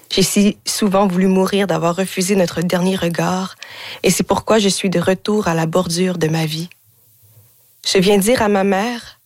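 A woman in her twenties, born in Haiti, reciting her own spoken-word poetry in a measured, deliberate way in a radio studio. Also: lowish voice, no echo. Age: 20s. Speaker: guest poet.

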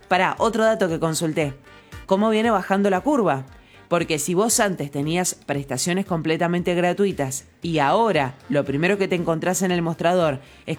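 A woman with a Mendoza Argentine accent hosting a radio talk show, explaining briskly with jokes. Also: on the low side. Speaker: radio host.

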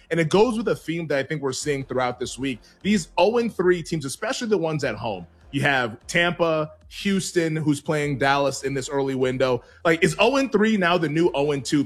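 A man hosting a sports betting show, talking quickly with lots of fillers and frequent laughs. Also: a little high. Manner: quick, excitable, heavy on "like", laughs often. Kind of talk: casual sports betting chat.

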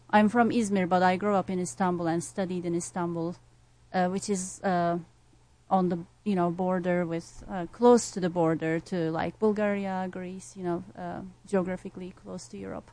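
A woman's voice: fairly low pitch, a little mellow, poor audio. Woman from Turkey, earnest speaker. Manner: earnest, many fillers. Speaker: music educator. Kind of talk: casual interview answer.

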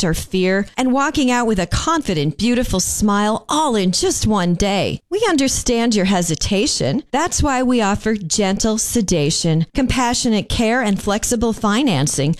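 A woman reading a scripted commercial in an emotive sales voice. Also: medium pitch. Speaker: ad voice-over.

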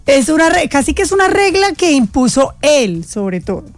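Expressive woman co-host over faint music. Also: high voice, normal speed. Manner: expressive, exclaims, tells anecdotes. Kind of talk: casual chat show.

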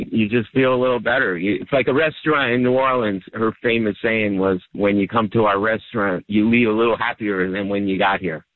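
A man giving an unscripted customer testimonial over a dull line. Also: lowish voice.

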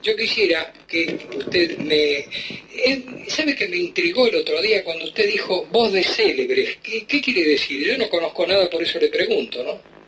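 An Argentine man chats on the phone in a bright, slightly high voice. His phrasing rambles and hesitates, with Rioplatense speech and light noise on the line. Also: lively intonation, normal speed. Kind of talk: casual phone conversation. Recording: noisy phone call.

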